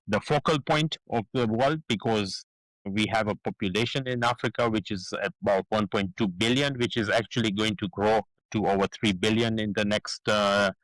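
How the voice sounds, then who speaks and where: Middle-aged man from Kenya, low voice, halting guest, dry close sound. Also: steady tone, fairly bright tone, no echo, rough recording. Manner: hesitant, many fillers and restarts. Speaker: guest, business founder. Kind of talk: casual interview talk.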